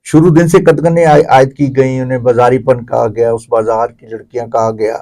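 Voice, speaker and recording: A man's voice: medium pitch, flat delivery, warm tone. A man with a Pakistani accent giving explanatory answers as a guest commentator in an interview.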